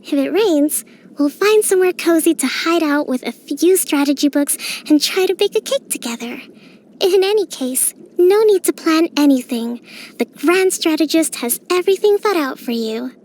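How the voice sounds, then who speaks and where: An actress voicing a young female island priestess and military strategist in a game, speaking in a gentle, composed, softly playful way. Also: very high-pitched, expressive, normal speed, slight background noise. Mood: excited. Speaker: game character. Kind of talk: game voice lines.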